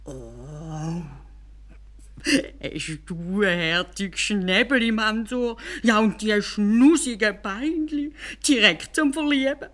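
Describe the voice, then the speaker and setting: A woman voicing a pleasure-loving, excitable male caliph in a Swiss German fairy tale, with an expressive, exclaiming delivery. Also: medium pitch, slow pace, voice pushed up. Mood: playful, delighted. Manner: drawling and sighing, or gushing with exclamations.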